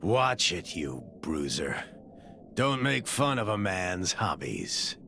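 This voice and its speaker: An old theatre troupe man in a game cutscene. The voice is low and gravelly, with wide swings in pitch. He grumbles in a wry, dry, unhurried way. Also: big pitch swings, sharp tone, slight background noise, heavily acted. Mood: wry grumbling. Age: older. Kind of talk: video game cutscene acting.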